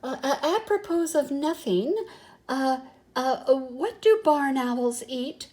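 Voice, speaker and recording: A timid gentleman mouse from a storybook, voiced by an older woman reading aloud. He speaks in a raised pitch and a slow, trembly stammer, trying to sound politely casual while plainly nervous. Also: animated. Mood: nervous, feigned casual. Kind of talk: storybook character voice.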